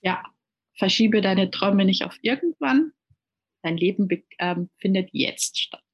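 A woman's voice: medium pitch, a little slow, slightly rough, dull audio. A woman from Germany, a native speaker of German and Italian, talking earnestly as an interview guest, often repeating words while she searches for the next one. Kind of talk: casual conversational interview.

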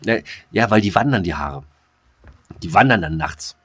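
A man's voice: low-pitched, lively intonation, normal speed. A man co-hosting a podcast, chatting casually in a rambling way with lots of fillers, restarts and crude slang. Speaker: podcast co-host. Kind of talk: casual chat.

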